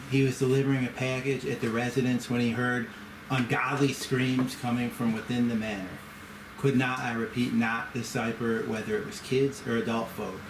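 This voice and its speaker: An elderly Southern gentleman boss, acted by a man at a table read, courteous and folksy with a conspiratorial streak. He drawls at a middling pitch in an echoey room with clear background noise. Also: natural voice. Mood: gossipy, grave. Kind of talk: amateur screenplay table read.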